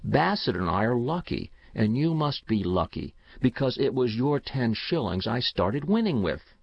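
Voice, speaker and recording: A man voicing a grave, earnest young boy in a storybook reading, polite and quietly insistent. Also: mid-range voice, sing-song, moderate pace, creaky, no room sound, dull audio. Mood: earnest, sure.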